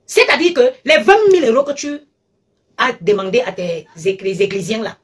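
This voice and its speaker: A woman with a fairly high, husky voice lectures her viewers on a live stream. She talks emphatically and hammers each point by repeating it. It sounds like a phone recording. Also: very animated, normal speed. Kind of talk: animated live-stream rant.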